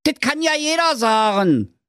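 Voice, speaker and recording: A man's voice: very high voice, sing-song, a little slow, fairly bright tone, clean tone, no room sound, clean studio sound, voice pushed up, full character voice. A man voicing a cocky, cheerful young fellow with a Berlin accent, full of slang. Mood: cheeky.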